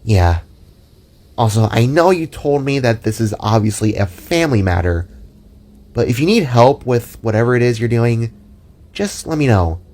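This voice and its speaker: A man voicing a small, mischievous, warm-hearted female frog vigilante with an informal, affectionate way of talking. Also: mid-range voice, sing-song, normal speed. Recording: music under the voice.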